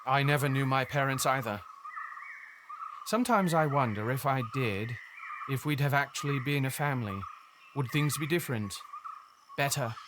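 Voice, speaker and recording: A man's voice: mid-range voice, moderate pace, long pauses, background noise, natural voice. An actor playing a stiff, ambitious middle-aged man in an audio drama, speaking formally and earnestly. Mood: wistful, doubtful. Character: stiff, ambitious grown man, banker turned would-be island ruler.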